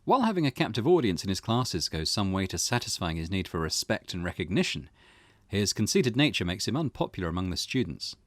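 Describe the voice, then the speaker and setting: A man's voice: on the low side, very animated, fairly quick, a bit bright, no room sound, clean studio sound. A man hosting a gaming playthrough, reading visual novel text aloud as narration, with music behind him. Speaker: gaming channel host.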